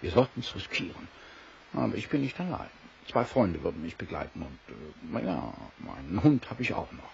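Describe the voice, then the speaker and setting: Radio-play engineer: cultured, formal, daring, low creaky voice, hissy muffled sound. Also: male voice, very animated, normal speed, heavily acted. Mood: resolved. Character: educated, cautious yet daring Northern engineer, a gentleman. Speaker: voice actor. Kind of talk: German audio drama acting. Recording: dull, hissy old recording.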